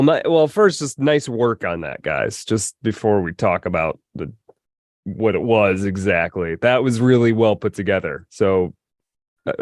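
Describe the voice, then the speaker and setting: A man's voice: fairly low pitch, dry recording, clean studio sound. A man co-hosting a podcast in casual, unscripted chat. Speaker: podcast co-host.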